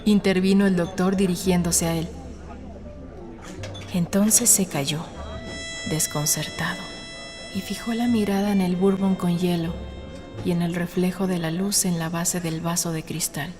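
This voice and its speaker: Woman, audiobook narrator, lowish pitch, scripted dramatic storytelling, measured and suspenseful, clear music bed. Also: steady tone. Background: background music.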